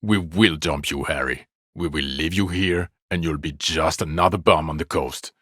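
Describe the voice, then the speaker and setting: A bitter, sardonic police detective for a video game. His voice is extremely low yet forceful and sharp-edged. He is scornful and profane, recorded dry with no room sound. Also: moderate pace, no echo.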